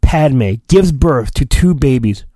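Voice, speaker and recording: A man hosting a podcast, giving a theatrical mock-dramatic reading of a storybook with drawn-out phrasing and goofy, rambling asides. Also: mid-range voice, lively intonation, mellow, dry recording.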